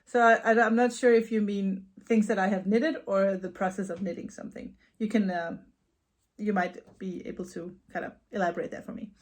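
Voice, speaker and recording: A Danish woman with a Danish accent, answering viewer questions in a casual knitting vlog at home, chatty with soft laughs and repeated words. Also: mid-range voice, steady tone, a little fast. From Denmark. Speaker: knitting vlogger and yarn dyer. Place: her home.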